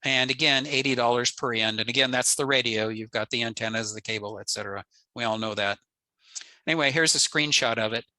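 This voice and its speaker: A man's voice: mid-range voice, brisk, pushed and bright, dry recording, poor audio. An older American man giving an informal technical slide presentation in a matter-of-fact, plain-spoken way. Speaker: presenter, amateur radio enthusiast. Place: indoors, on a video call.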